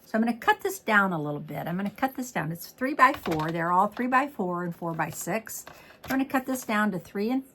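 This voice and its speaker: A middle-aged woman demonstrating card making in a chatty step-by-step tutorial, slightly hoarse as she is losing her voice. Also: mid-range voice, animated, fairly quick, bright, forceful tone, a little hiss or hum. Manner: chatty, walks through each step. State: losing her voice, throat clearing. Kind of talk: casual crafting tutorial.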